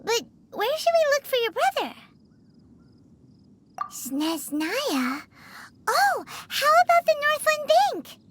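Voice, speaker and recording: A woman voicing a tiny, cheeky, excitable fairy companion in a video game, with a squeaky childlike voice. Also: slow pace, long pauses, a little hiss or hum, full character voice. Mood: chatty, impressed. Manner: speaks of herself in third person, sassy.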